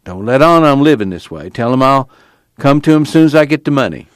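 A man voicing a lonely, resigned country drifter in an audiobook, wistful and quietly stubborn, with folksy rural dialect. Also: medium pitch, lively intonation, a little fast, dry recording, studio quality, voice pushed up, natural voice.

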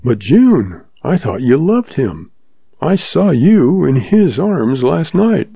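A man voicing a steady young cowboy who talks slowly and plainly, in a dull lo-fi recording. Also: a little high, creaky, slightly rough, dry recording, pitched above the performer's own voice, full character voice. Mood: quiet. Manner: slow and plain-spoken. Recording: dull lo-fi audio.

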